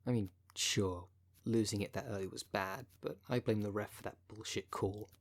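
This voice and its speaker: Low-voiced wry necromancer coach, played by a man. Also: steady tone, moderate pace. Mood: wry, reassuring. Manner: chatty, colloquial, wry, consoling. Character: male necromancer coach, wry, foul-mouthed, caring, encouraging his zombie fighter. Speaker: audio roleplay performer. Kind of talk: ASMR roleplay, casual coaching talk.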